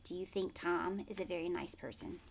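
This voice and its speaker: A woman's voice: lowish voice, fairly even intonation, fairly quick, gravelly, a little hiss or hum. A woman teacher reading a storybook aloud expressively and explaining it to young listeners as she goes.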